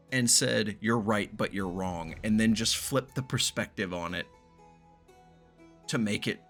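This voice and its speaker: A male gamer with a bright, punchy voice reacts casually to a story game. He thinks aloud, excitable and free with swearing, over faint background music. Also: lowish voice.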